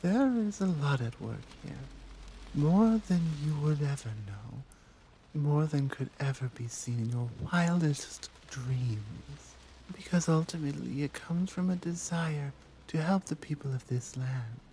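A gentle tigress warrior monk played by a woman speaks in a mellow, medium-low voice for an ASMR roleplay. She is earnest and conflicted, taking her time with hesitant pauses, over audible background ambience. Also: lively intonation, a little hiss or hum, rough recording. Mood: conflicted, confessing. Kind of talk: soft intimate ASMR roleplay.

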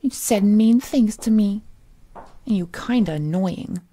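A woman's voice: mid-range voice, lively intonation, measured pace, mellow. A woman playing a cheerful, possessive villainess kidnapper in a roleplay audio drama, cooing and coaxing the listener in folksy slang. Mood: teasing, pleased. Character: cheerful, possessive female supervillain kidnapper, doting and unhinged.

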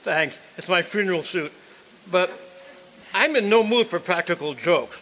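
An actor playing a flustered, indignant executioner with a stammering manner in a radio play. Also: male voice, sits high, lively intonation, unhurried, pushed and bright, a little hiss or hum, exaggerated voice, low-quality recording. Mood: bewildered. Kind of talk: stage radio drama acting.

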